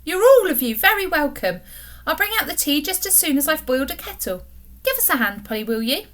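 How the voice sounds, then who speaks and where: A homely country aunt, as a woman reading a storybook puts her on, brisk and hospitable. Her voice is bright and lively as she hurries to make everyone welcome. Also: high-pitched, voice pushed up. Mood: welcoming.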